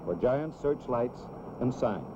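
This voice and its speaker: A man narrates a scripted educational film for children in a measured, clearly explanatory way. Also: mid-range voice, animated, noisy background. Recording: dull, lo-fi old film soundtrack.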